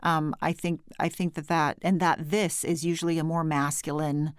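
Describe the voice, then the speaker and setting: A woman from California, hosting a conversation with a lowish voice and a light edge of vocal fry. She talks chattily, laughs often and corrects herself as she goes. The sound is dry and close. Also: level tone, normal speed, no echo. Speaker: podcast host. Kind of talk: conversational interview.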